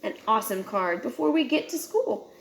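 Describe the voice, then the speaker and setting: A woman voicing an earnest, excitable young male cat from a children's storybook, speaking emphatically. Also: on the high side, normal speed, creaky, a little hiss or hum, rough recording. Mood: eager.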